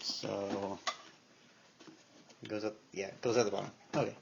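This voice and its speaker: A man's voice: on the low side, flat delivery, normal speed, creaky. Male vlogger, offhand and unscripted, talking half to himself; dull camera-mic sound with faint noise. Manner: musing aloud to himself while handling gear. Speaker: vlogger showing a collection of tape recorders. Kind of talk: casual unscripted show-and-tell. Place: indoor room at home. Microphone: handheld camera microphone. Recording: dull, noisy handheld camera sound with clicks.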